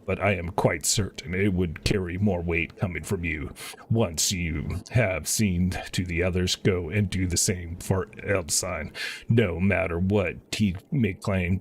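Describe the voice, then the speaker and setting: A man's voice: deep voice, slight background noise. A man casually commentating on a game live stream and reading dialogue aloud in an offhand, slangy way.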